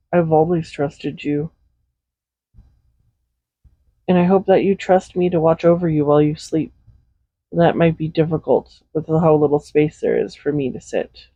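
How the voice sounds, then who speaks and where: A man acts out a tender, possessive villain who soothes and coaxes a captive loved one in an intimate audio drama. His voice is mellow and a little high for a man. It is recorded very dry and close, with a slightly dulled sound. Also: no echo, dull audio. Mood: tender, coaxing. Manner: soothing, coaxing, gently reassuring. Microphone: close USB condenser microphone.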